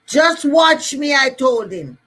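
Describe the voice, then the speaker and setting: A woman's voice: high voice, very animated, takes it slowly, fairly bright tone. Emphatic woman with Jamaican accent. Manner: repetitive, emphatic, rallying. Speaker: motivational speaker. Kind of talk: motivational talk.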